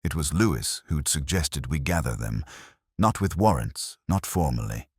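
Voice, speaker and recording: A man performs a solemn detective inspector recounting a mystery in the first person. The voice is exceptionally deep, yet it rises and falls noticeably through deliberate, literary sentences. The sound is close and dry, and the temper stays brooding and measured. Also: lively intonation, a little mellow, breathy edge, no echo, exaggerated voice. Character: brooding, measured male detective inspector narrating his case. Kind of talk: first-person scripted mystery narration, audiobook-like.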